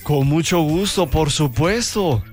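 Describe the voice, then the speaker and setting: A man's voice: fairly high pitch, expressive, slow. A man with a Colombian accent hosting a radio show of music requests and listener greetings. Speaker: radio host.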